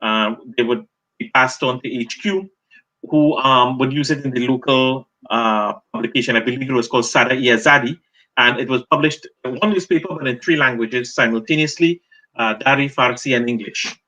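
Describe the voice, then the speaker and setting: A middle-aged Trinidadian man, a journalist and author, answering interview questions as a lively storyteller. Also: medium pitch, moderate pace, muffled recording. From Trinidad and Tobago.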